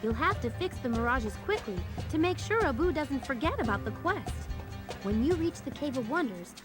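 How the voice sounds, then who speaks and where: A woman's voice: high voice, animated, moderate pace, over music. A woman voicing a helpful young female guide in a video game, giving instructions like a storyteller. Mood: helpful, urging. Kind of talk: video game tutorial voice acting.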